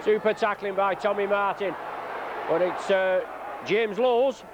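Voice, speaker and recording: A man giving live rugby league commentary, slightly croaky from a cold, calling play by play with frequent "uh" fillers. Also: high voice, unhurried. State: croaky from flu. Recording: dull lo-fi broadcast tape with noise.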